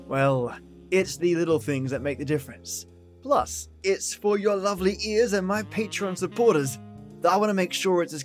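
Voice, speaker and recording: A man introducing a podcast episode in a chummy, theatrical, intimate style, addressing listeners directly. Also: fairly high pitch. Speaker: podcast host. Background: soft guitar music.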